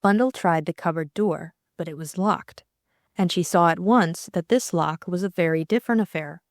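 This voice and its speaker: Low-pitched woman narrating an audiobook. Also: moderate pace, no echo, clean studio sound. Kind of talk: scripted storybook narration.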